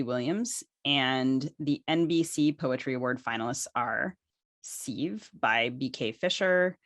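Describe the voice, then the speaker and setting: A woman's voice: very deep voice, fairly bright tone, no room sound. A woman speaks as a podcast co-host, reading items out in an informal, conversational style. The sound is dry, with no room around the voice.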